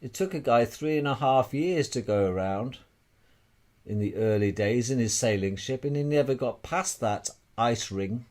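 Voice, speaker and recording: A man's voice: mid-range voice, moderate pace, a little mellow. A man giving an informal, emphatic explanatory monologue as an amateur presenter, repeating phrases and posing rhetorical questions.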